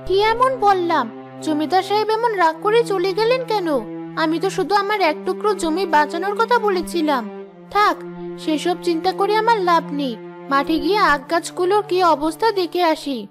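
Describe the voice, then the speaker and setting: A hardworking village mother from a fairy-tale cartoon, acted by a woman. Her voice is very high, earnest and caring with a worried edge. Music plays clearly behind her. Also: moderate pace. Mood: earnest, anxious.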